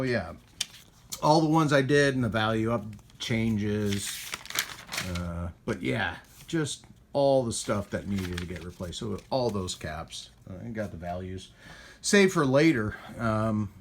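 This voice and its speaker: A man with a fairly low voice hosts a casual tech repair video. He rambles and hesitates, talking to himself as he works. Some background noise sits around his voice. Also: lively intonation, unhurried. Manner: rambling, hesitant, talks to himself while working. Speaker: host of a radio repair video. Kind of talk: casual hands-on tech vlog, thinking aloud.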